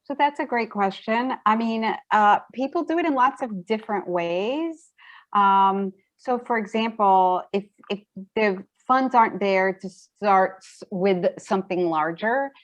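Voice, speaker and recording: A woman fielding questions and explaining things in a halting, hesitant way, over dull meeting audio. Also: mid-range voice. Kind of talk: explanatory question-and-answer talk.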